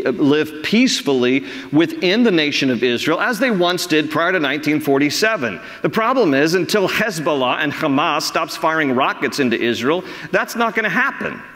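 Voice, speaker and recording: A man's voice: a little high, expressive, normal speed, few pauses, fairly bright tone. A man preaching an emphatic sermon as pastor, reading quotes aloud from the pulpit. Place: large church sanctuary. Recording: long hall reverb.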